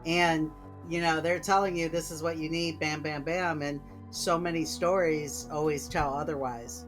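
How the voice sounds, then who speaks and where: A woman's voice: low-pitched, fairly even intonation, moderate pace, over music. A woman from Puerto Rico co-hosting a podcast in casual chat, conversational and heartfelt, often saying "you know.". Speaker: podcast co-host.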